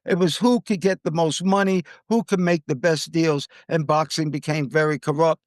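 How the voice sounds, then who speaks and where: A man's voice: a little high, fairly even intonation, normal speed, no echo, studio quality. An American man hosting a podcast, giving conversational commentary on the news. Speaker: podcast host.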